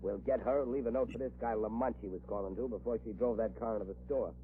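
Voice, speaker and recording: An actor playing a ruthless, scheming crime boss in a clipped, tough 1940s radio style, on a tinny old recording. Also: male voice, fairly low pitch, fairly even intonation, quick pace, a little hiss or hum, natural voice. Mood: scheming, impatient. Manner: clipped, bossy, tough-talking gangster.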